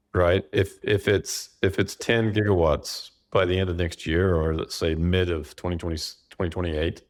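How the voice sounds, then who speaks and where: A man in a casual analytical discussion, measured and tentative as he reasons through figures aloud. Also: low voice.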